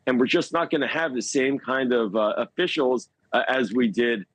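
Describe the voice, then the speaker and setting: A man giving foreign policy analysis as a news interview guest, speaking in a measured, halting way and stressing key words. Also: medium pitch, fairly bright tone, no room sound.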